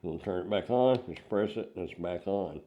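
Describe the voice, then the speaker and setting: A man's voice: sits low, normal speed, lo-fi sound. An older man giving a casual hands-on product review, explaining things step by step in plain words.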